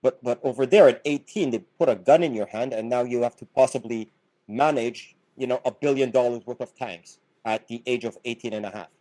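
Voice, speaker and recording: A middle-aged man from Canada talks through his ideas as a podcast guest, with a mellow, dark tone. He is earnest and explanatory, often repeating a word as he restarts a sentence. His pitch sits in an ordinary middle range. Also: brisk, poor audio. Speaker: podcast guest, business founder. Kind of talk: casual podcast conversation.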